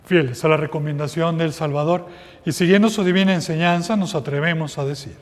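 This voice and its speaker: A middle-aged man, a priest, reciting the prayers of Mass in a slow, solemn way. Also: fairly high pitch. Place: small chapel with altar. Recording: long reverb of a chapel.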